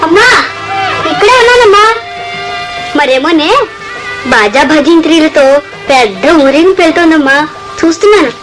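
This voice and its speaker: A woman's voice: high-pitched, lively intonation, moderate pace, pauses often, a bit bright, faint music behind, poor audio. A woman playing an innocent, stubborn six-year-old girl in a radio play. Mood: curious.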